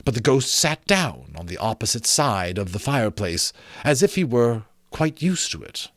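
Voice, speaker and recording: A man reading a classic story aloud as dramatic narration, theatrical and deliberate, building suspense. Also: mid-range voice, sing-song, a bit bright, dry recording, clean studio sound. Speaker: amateur audiobook narrator.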